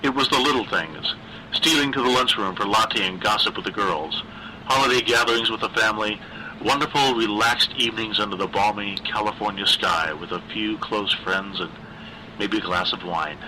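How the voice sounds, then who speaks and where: Bright, forceful man narrating dark fiction. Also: on the low side, normal speed, slight background noise, low-quality recording. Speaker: story narrator, reader. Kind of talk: scripted narration of a dark horror story.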